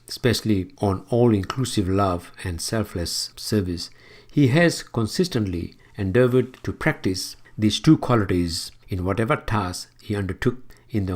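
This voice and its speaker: A man reading a formal tribute introduction in a measured way, then opening an interview. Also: lowish voice.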